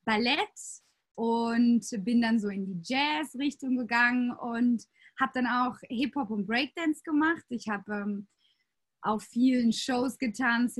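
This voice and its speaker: A woman in her late twenties from Austria, a yoga teacher telling her story in a casual interview with many fillers. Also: a little high. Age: 20s.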